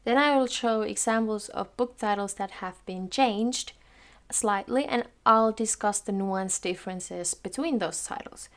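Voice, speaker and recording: A young woman from Finland with a Finnish accent, explaining book titles in a careful, measured vlog style. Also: mid-range voice. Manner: careful, measured, occasional restarts mid-word. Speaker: book vlogger.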